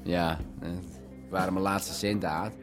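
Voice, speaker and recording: An actor playing a sullen, easily led young gangster's son in a Dutch radio drama, hesitant and grumbling. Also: male voice, sits low, steady tone, unhurried, over music. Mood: tipsy.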